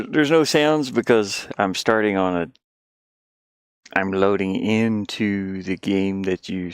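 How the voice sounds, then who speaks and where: Low voiced guy muttering while he works on his game on stream, super dry close sound, takes his time. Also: clean tone, no echo. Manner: muttering to himself as he troubleshoots. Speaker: indie game developer streaming. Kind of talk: casual livestream talk while working on a game.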